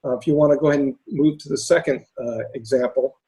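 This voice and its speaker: A hoarse man with a raspy voice talking through case studies on a video call, halting with many fillers and restarts. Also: mid-range voice, fairly even intonation, warm tone, poor audio. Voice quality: raspy, lost voice. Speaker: panelist presenting case studies. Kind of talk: webinar talk.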